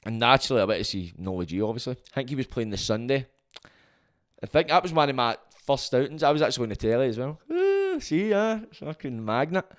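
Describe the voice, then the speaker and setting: A Glaswegian man hosting his own podcast, rambling and swearing freely in a loud, booming voice. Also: very animated, brisk. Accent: Scottish, Glaswegian. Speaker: podcast host. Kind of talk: casual monologue.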